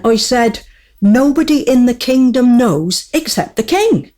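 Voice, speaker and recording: A woman acts a small court jester in a radio play, irreverent and witty. The voice sits fairly high and moves slowly, with measured, wry storytelling and mock-courtly formality. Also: a little mellow, full character voice. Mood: wry, amused.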